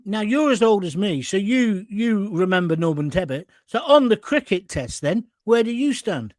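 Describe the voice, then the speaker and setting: A man's voice: high-pitched, animated, moderate pace, a little mellow, no echo, studio quality. A middle-aged man with an English accent hosting a political interview in a blunt, jokey, challenging way. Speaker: interviewer, host.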